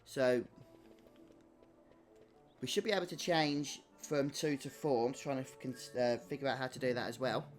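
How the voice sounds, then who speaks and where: A male gaming host talks casually through what he is doing in a game. His voice sits at an average pitch, and he tends to think aloud with some hesitation. Game music plays clearly behind him. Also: steady tone, normal speed, pauses often. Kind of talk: casual gameplay commentary. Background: loud game music.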